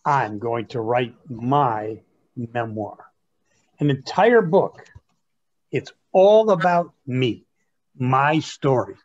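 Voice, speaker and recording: A young man playing an earnest, self-absorbed grandson of twenty-three in a comic staged reading, eager, halting and placating. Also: medium pitch, darkish tone, muffled recording. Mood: enthusiastic.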